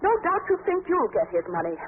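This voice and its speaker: Spiteful high-voiced heiress on old radio. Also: female voice, sing-song, brisk. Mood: vengeful. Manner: theatrical, sharp-tongued. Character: scheming bitter young heiress, spiteful and defiant. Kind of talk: 1940s radio drama acting. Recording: noisy, tinny 1940s radio recording.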